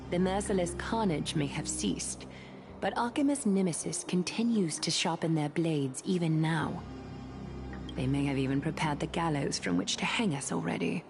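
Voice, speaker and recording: An actress playing a solemn, composed woman leader in a game, speaking formally and gravely. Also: lowish voice. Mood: wry, serious. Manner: measured, grave, formal speech. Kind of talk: scripted game story dialogue. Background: game music.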